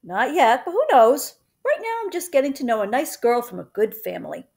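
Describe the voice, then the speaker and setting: A woman's voice: on the high side, wildly swooping pitch, fairly quick, gravelly, darkish tone, voice pushed up, full character voice. A woman reading a children's story, voicing a proud, joking young uncle who talks in casual slang. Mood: easygoing.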